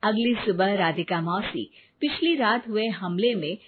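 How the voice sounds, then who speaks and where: A woman's voice: medium pitch, measured pace, narrow, tinny audio, slight background noise. A woman reading a scripted story as its narrator.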